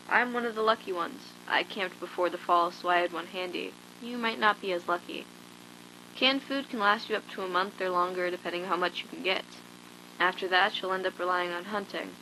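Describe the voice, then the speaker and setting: A woman performs a hardened survivor of a zombie apocalypse dictating a log for an audio drama. Her voice is slightly low yet bright and forceful. She instructs bluntly and matter-of-factly, with a touch of condescension, over a faint noisy hum. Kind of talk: scripted monologue as a survival log.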